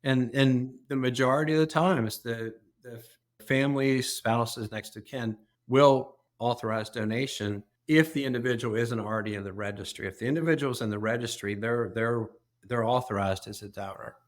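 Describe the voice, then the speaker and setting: A man's voice: medium pitch, moderate pace. A man, an organ donation executive, explaining earnestly as an interview guest, often repeating words as he starts a thought.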